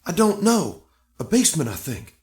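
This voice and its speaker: A man's voice: a little high, wildly swooping pitch, moderate pace, a little mellow. An actor playing an anxious, exasperated captive man with dramatic urgency in an audio drama. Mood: explaining, tense.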